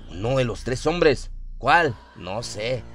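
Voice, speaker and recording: A man reading a scripted horror story as a narrator, in a dramatic, suspenseful manner with drawn-out phrasing. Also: medium pitch, animated, a bit bright. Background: music.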